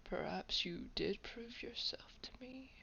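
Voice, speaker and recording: A woman voicing a haughty, menacing old vampire in a roleplay audio drama, breathy and gravelly, drawing out words with soft condescension. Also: a little high, full character voice. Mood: haughty, musing. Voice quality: breathy whisper with gravelly creak. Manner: slow, drawn-out, condescending, softly threatening. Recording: dull, lo-fi home microphone.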